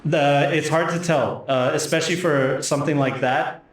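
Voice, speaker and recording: A man's voice: fairly high pitch, fairly even intonation, moderate pace. A man running a tabletop game as game master, explaining and narrating in a casual, conversational way. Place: indoor room with echo. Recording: echoey room, faint background noise.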